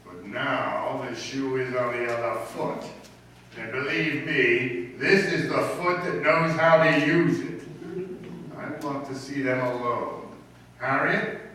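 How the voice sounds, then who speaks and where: An actor playing a pompous, blustering middle-aged school principal in sarcastically formal radio comedy style. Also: male voice, mid-range voice, takes it slowly, pushed and bright. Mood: indignant, smug. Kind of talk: old-time radio comedy acting. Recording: echoey room from far off, faint noise.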